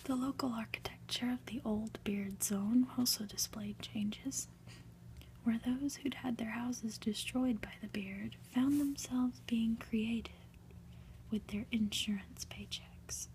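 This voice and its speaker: Slow, whispering woman reading ASMR. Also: fairly high pitch, fairly even intonation, slight background noise, rough recording. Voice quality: soft, breathy whisper. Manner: gentle, unhurried, deliberate delivery. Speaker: ASMR reader.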